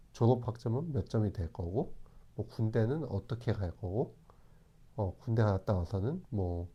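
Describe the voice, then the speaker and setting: A man in his forties talking in a calm, reflective way, often pausing and restarting his sentences. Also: on the low side, lo-fi sound. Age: 40s. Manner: calm, hesitant, with many restarts. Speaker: channel host. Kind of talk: reflective talk to camera.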